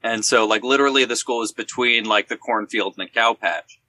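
Bright, forceful man telling anecdotes. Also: medium pitch, a little fast. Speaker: teacher. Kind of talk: casual podcast storytelling.

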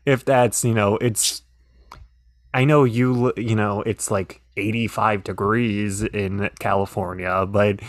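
A man's voice: lowish voice, steady tone, slow pace, no room sound, studio quality. A man co-hosting a podcast in casual chat, talking in a hesitant, rambling way with many restarts. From Pennsylvania. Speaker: podcast co-host.

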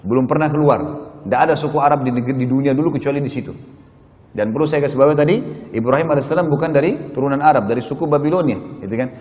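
A man's voice: medium pitch, racing, a little mellow. Male preacher in a reverberant hall. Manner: explanatory, addresses listeners, checks understanding with short tags. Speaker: Islamic preacher. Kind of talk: religious history lecture to a congregation. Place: mosque prayer hall. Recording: reverberant hall with long echo.

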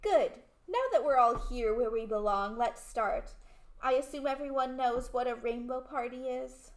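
A woman's voice: on the high side, moderate pace, natural voice, low-quality recording. A woman playing a rich, syrupy, commanding teenage queen bee with theatrical delivery in an amateur radio play.

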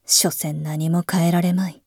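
A woman voicing a world-tired figurehead emperor who speaks in archaic, imperious phrasing, musing slowly and half-whispering in a voice drama. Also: fairly low pitch, level tone, a little mellow, slightly rough, no room sound, clean studio sound. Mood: brooding. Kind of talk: voice drama monologue.

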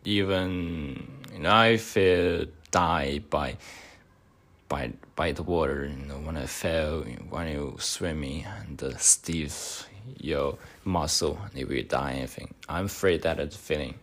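Slow, deep-voiced man. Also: fairly bright tone. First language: Chinese. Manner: halting, hesitant speech with many fillers. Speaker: podcast host. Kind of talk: mock speaking exam answers.

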